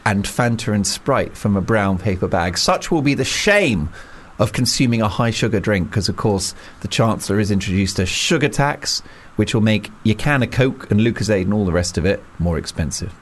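A man's voice: lowish voice, lively intonation, normal speed, hardly any pauses. A man hosting a casual panel chat, wry and playful, from England. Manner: chatty, wry, interrupts playfully. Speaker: host.

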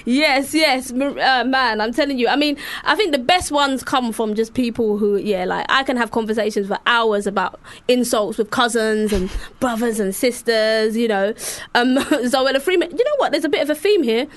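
A young woman from Sierra Leone co-hosting a radio show, animated and laughing often as she tells stories. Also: on the high side, moderate pace. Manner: animated, laughing, lots of repeats. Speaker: co-host, rapper. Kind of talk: casual radio chat.

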